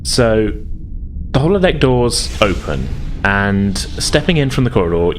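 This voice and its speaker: A man's voice: mid-range voice, slight background noise. A man running a tabletop game as game master, improvising narration in a hesitant, conversational way.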